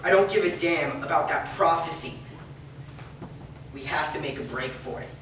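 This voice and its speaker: A man's voice: a little high, moderate pace, a bit bright, natural voice. A man playing a determined young son in a staged reading. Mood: urgent, determined. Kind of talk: staged reading of a drama script. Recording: noisy, very echoey hall from far off.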